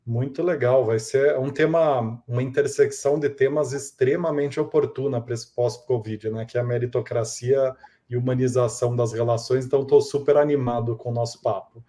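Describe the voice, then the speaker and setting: A man's voice: medium pitch, fairly even intonation, normal speed, warm tone. A man with a Brazilian accent hosting a podcast interview in a polite, enthusiastic and wordy way.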